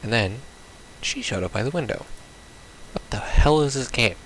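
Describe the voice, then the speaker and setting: A man's voice: mid-range voice, lively intonation, moderate pace, fairly bright tone, a little hiss or hum, poor audio. A man playing a visual novel, reading its text aloud casually with baffled, blunt asides. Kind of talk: casual let's play, reading game text aloud with confused reactions.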